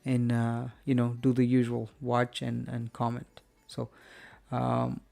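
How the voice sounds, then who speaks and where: A man's voice: medium pitch, monotone, slow, creaky, dark tone, soft background music. A man hosting a live stream from home, wrapping up the show in a rambling, friendly chat full of fillers and restarts. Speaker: host.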